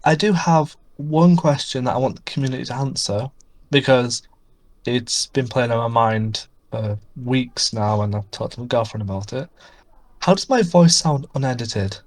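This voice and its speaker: A man's voice: mid-range voice, moderate pace. A man co-hosting a podcast in casual, self-deprecating chat, with a soothing voice. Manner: self-deprecating, excitable storytelling. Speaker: podcast co-host.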